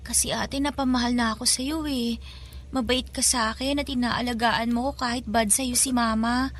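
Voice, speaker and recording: Woman playing a hushed, high-voiced kind young girl. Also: steady tone, normal speed, a little hiss or hum, close to an everyday voice. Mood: hushed, caring. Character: kind, timid young girl secretly helping a maid. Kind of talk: Filipino radio drama acting.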